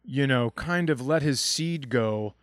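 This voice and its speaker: Male comedy podcast co-host, medium pitch, casual and wry, very dry recording. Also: measured pace, no room sound.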